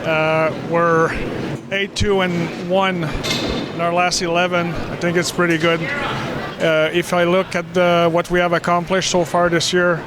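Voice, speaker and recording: Male hockey coach in postgame interview, high voice, flat intonation, halting speech, noticeable background noise. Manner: halting, repeats words mid-sentence.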